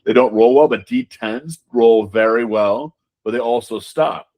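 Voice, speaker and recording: A man being interviewed as a game designer, talking casually and haltingly, often repeating words as he explains. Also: mid-range voice, darkish tone.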